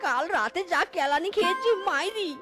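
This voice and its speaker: A very high, squeaky cartoon fox, a sly husband and would-be thief. He exclaims, brags and whines in an excitable way, with loud children's music behind him. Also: moderate pace. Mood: scheming. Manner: exclaiming, boastful, whiny when in trouble. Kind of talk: cartoon voice acting.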